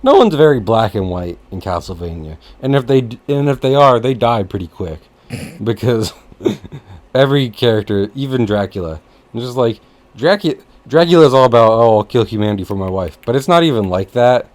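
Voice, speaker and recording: A man hosting a casual podcast chat, rambling and jokey, with easy swearing and an animated delivery. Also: mid-range voice, fairly quick. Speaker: podcast host.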